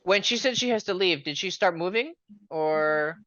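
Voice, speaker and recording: A woman coaching a student on a call, explaining calmly with frequent restarts. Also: on the low side, normal speed, dry recording, highs cut off. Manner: calm, explanatory, many restarts. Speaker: dating coach.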